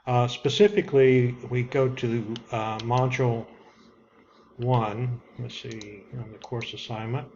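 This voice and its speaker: A man's voice: medium pitch, steady tone, slow, darkish tone. A man giving an informal, plainspoken walkthrough as an online course instructor, repeating key points in an instructive way. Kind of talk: informal screen-share walkthrough of an assignment. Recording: dull lo-fi audio with background noise.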